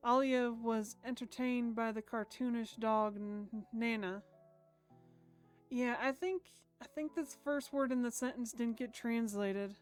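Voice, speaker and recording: Female game streamer, higher voice, casual and chatty, reads on-screen story between asides, music behind. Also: unhurried, clear, resonant tone. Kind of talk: casual live stream chat mixed with reading a visual novel aloud. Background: background music.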